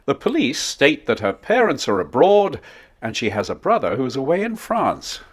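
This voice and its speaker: A man playing a good-humoured, encouraging middle-aged father with a slightly husky voice in an audiobook drama. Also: mid-range voice, wildly swooping pitch, normal speed. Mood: reading out. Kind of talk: audiobook drama acting, reading a newspaper aloud.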